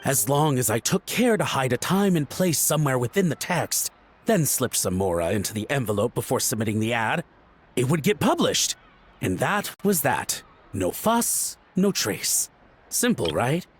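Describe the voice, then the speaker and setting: An actor voicing a nervous, groveling middle-aged antique collector in a video game, speaking in a theatrical, fawning manner. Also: male voice, mid-range voice, sing-song, normal speed, husky. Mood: confessing. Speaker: game character.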